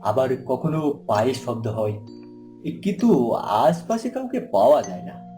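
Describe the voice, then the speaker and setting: Gossipy tea-stall man, cartoon male actor; animated delivery, colloquial, faint music behind. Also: medium pitch, unhurried. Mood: mysterious.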